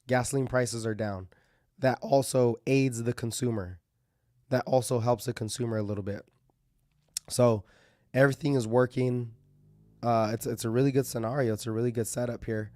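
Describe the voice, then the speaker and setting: A man hosting casual market commentary, thinking aloud with fillers and restarts, his voice going a little hoarse as he clears his throat. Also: medium pitch, fairly even intonation, normal speed, darkish tone, dry recording, studio quality.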